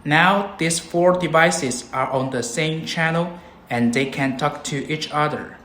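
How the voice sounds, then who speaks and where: A man presenting a scripted product tutorial, explaining things in a measured, step-by-step way. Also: a little high, a bit bright. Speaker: product demonstrator. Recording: roomy echo, background noise.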